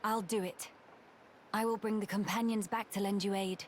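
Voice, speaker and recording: A woman's voice: medium pitch, steady tone, moderate pace, slight background noise, close to an everyday voice. A woman playing a dignified, resolute young noblewoman leader in a video game, speaking formally and with command.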